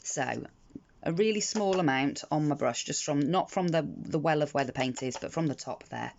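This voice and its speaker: British woman, croaky, gravelly, chatty craft tutorial, lots of ums, slightly muffled audio. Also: deep voice, normal speed, darkish tone. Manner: chatty, frequent ums and restarts. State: croaky from the morning. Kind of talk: casual step-by-step craft tutorial. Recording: slightly dull lo-fi audio with faint noise.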